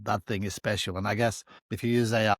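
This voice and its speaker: A male podcast host asks easy questions and backs his guest with short affirmations. His voice is on the low side. It is recorded close and dry. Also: little pitch movement, quick pace, fairly bright tone, no echo. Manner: supportive listener, brief affirmations. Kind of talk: casual interview.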